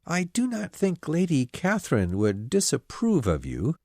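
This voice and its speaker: A woman voicing a pompous, self-satisfied young male clergyman who proposes, speaking in a slow, smug, insistent way. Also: low-pitched, huge pitch swings, warm tone, dry recording, clean studio sound, heavily acted. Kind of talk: storybook character voice.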